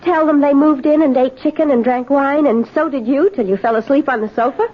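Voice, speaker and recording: Woman acting a brazen, high-voiced young drifter girl. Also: fairly quick, lightly acted. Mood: bossy, defiant. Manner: taunting, coaxing, commanding. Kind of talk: 1970s radio drama acting. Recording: dull, muffled old radio broadcast.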